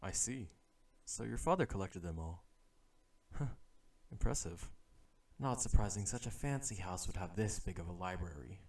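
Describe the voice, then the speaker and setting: A man's voice: sits low, lively intonation, normal speed, a little mellow, rough recording. A man playing an exasperated assassin who poses as a dutiful bodyguard in an ASMR audio roleplay, alternating polite formal address with grumbling inner thoughts. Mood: polite, composed.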